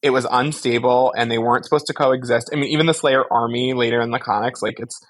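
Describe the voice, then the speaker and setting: A man's voice: mid-range voice, steady tone, fast talker. A man co-hosting a pop-culture podcast in a casual, slangy style. He breaks things down analytically while keeping a jokey, conversational tone. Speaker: podcast co-host.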